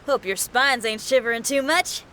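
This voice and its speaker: A woman's voice: on the high side, normal speed, a bit bright, a little hiss or hum, lightly acted. A woman voicing a confident, shrewd young musician in a video game, casual and assured. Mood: confident, intriguing. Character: cool, shrewd young female rock musician, confident, teasing. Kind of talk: video game cutscene acting.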